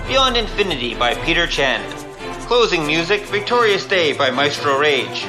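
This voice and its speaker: Guy reading show credits, announcer style, highish voice, music under it. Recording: music behind the voice.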